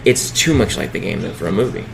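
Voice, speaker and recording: Low-voiced man rambling about movies. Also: animated, fast, vocal fry, a crowd in the background. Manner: rambling, many fillers and restarts. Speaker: podcast co-host. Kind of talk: casual chat.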